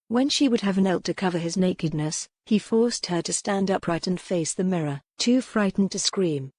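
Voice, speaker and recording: A woman's voice: fairly low pitch, a little mellow, dry recording. A woman reading dramatic fiction as an audiobook narrator, in a scripted storytelling style.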